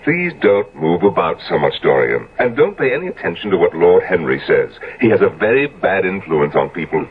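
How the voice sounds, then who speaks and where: A man's voice: deep voice, sing-song, brisk, few pauses, soft background music. A man playing an earnest Victorian portrait painter, polite and mildly admonishing, in a 1970s radio drama. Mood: absorbed, cautioning. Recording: tinny, phone-like old radio recording.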